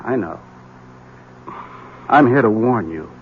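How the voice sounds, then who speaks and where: An actor playing a desperate, guilt-ridden man in a 1970s radio drama, speaking in intense, halting bursts. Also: on the low side, expressive, a little hiss or hum. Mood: grim, urgent. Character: desperate, guilt-ridden man warning a rival about a witch. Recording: dull vintage radio recording.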